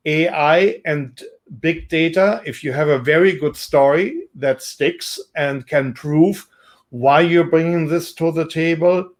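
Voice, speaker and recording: A man with a German accent introducing himself as an investor, speaking in a measured, businesslike way with frequent pauses and ums. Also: a little high. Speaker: investor and entrepreneur. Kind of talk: self-introduction to camera.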